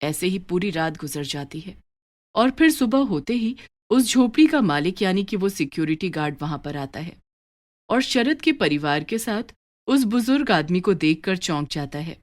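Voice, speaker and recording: Expressive woman storyteller. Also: fairly low pitch, normal speed. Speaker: narrator. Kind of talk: scripted storytelling narration.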